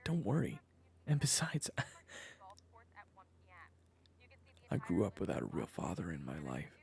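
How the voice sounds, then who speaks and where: Gravelly low-voiced reformed crook, acted by a man. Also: huge pitch swings, slow, full character voice. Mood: conflicted, reassuring. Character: male reformed criminal, weary protective family man, narrating. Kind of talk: audio roleplay acting with first-person narration.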